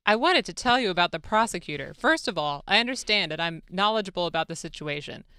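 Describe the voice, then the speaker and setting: Woman playing a deferential president, bright and clear. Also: lowish voice, expressive, fast, no echo. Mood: earnest, deferential. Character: an eager, deferential male foreign president in dramatic reading. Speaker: radio show producer. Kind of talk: dramatic reading of a phone-call transcript. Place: radio studio. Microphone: close studio microphone.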